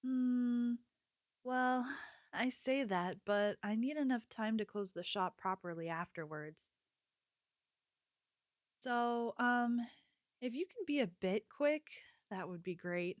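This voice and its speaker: A woman playing a sweet, attentive young convenience store clerk in an ASMR roleplay, speaking softly with a gentle, hesitant manner. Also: fairly high pitch, slow pace, pauses often, no echo, studio quality. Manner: gentle, apologetic, hesitant, solicitous.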